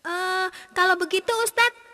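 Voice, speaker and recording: Woman doing a curious young cartoon pupil, polite questioning, piercingly bright tone, reverberant recording. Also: extremely high pitch, normal speed. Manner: inquisitive, polite. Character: curious, eager young pupil in religion class. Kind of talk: educational cartoon dialogue.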